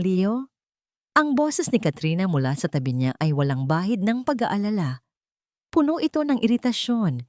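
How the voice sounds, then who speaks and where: A woman reading scripted dramatic fiction as narrator, in deliberate short phrases. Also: mid-range voice, a little mellow, dry recording, studio quality. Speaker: story narrator.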